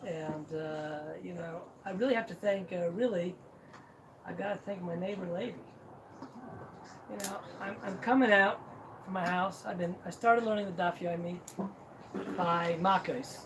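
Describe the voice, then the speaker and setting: A man's voice: sits high, fairly even intonation, moderate pace. Joking man telling a story. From Cincinnati, Ohio, US. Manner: self-deprecating, joking, frequent restarts. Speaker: speaker at a celebration. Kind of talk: humorous personal storytelling. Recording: distant mic, noisy hall.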